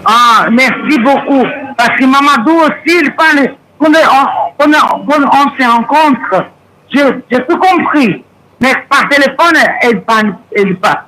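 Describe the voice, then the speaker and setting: A woman whose first language is Portuguese calls in and speaks French haltingly, stopping to restart her words again and again. Her voice is high and bright, with a little noise behind it. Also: lively intonation, rough recording. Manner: halting, many restarts and fillers. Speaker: caller. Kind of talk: phone conversation.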